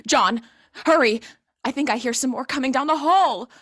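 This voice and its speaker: A woman's voice: sits high, moderate pace, fairly bright tone, dry recording, studio quality. A woman playing an eager, impatient rookie zombie hunter in a comedic audio play, speaking with earnest urgency. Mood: impatient, urgent.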